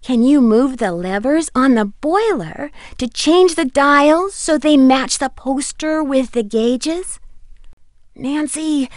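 An actress playing a young, fretful but encouraging friend who gives earnest, clearly spoken hints in a video game. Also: female voice, high-pitched, no room sound, studio quality. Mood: worried.

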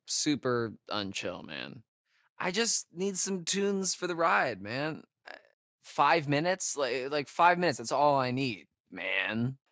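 Young American guy, wisecracking camp counselor, horror video game, hesitant slangy speech, animated intonation, dry close sound. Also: medium pitch, creaky, no echo, full character voice. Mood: laid-back. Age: young adult. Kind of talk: naturalistic horror game acting.

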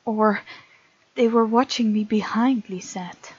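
A chatty, giggly woman narrates a visual novel for a let's play, switching between reading and casual commentary. Her pitch is average for a woman. The recording is dry and slightly dull. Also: slow, a little mellow, slightly rough, no room sound. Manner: chatty, giggly, reacts aloud to the story. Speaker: game streamer reading a visual novel aloud.

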